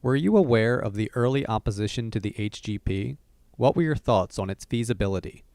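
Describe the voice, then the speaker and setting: A man's voice: fairly low pitch, lively intonation, brisk, darkish tone, no room sound. A man asking short, prepared interview questions.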